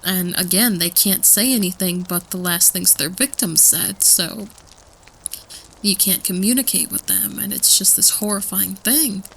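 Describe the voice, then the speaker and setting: A woman's voice: mid-range voice, unhurried, vocal fry, fairly bright tone, a little hiss or hum, rough recording. A woman playing a nervous student who rambles through spooky folklore in a hesitant, casual way, repeating herself, in an audio roleplay. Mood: sheepish, spooked. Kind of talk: casual campfire storytelling roleplay.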